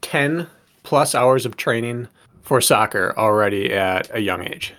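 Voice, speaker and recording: A man's voice: mid-range voice, unhurried, a bit bright, slight background noise. A man hosting an interview, asking brief and direct questions.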